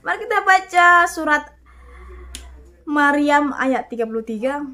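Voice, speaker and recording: A woman in her thirties giving an animated, casual sermon-style talk, often addressing her listeners as "Bapak Ibu" and reading verses aloud. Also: sits high, a little slow. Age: 30s. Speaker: online preacher.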